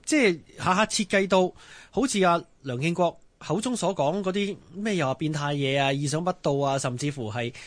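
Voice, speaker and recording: A man's voice: on the high side, normal speed, no echo. Dry-recorded Hong Kong Cantonese male host. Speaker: talk show host. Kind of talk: casual moderating, posing questions.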